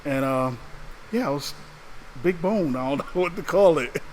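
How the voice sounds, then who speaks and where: A man co-hosting a casual podcast. His voice sits a bit higher than most men's, and he chats easily, laughing between words. A faint room hum sits behind him. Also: expressive, moderate pace. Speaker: podcast co-host.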